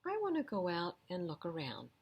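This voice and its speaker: A woman's voice: fairly low pitch, huge pitch swings, fairly quick, breathy edge, exaggerated voice. A woman voicing a curious, polite young male seal from a picture book, speaking simply and earnestly. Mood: wistful, earnest. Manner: simple, earnest storybook speech.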